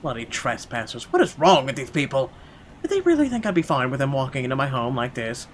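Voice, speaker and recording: Man reading as indignant rich gentleman, noisy ambience. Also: medium pitch, fairly quick, close to an everyday voice. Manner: indignant, expressive, stumbles over the script. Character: wealthy irritable British man narrating his inner thoughts. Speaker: gaming channel host. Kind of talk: dramatic read-aloud of visual novel narration.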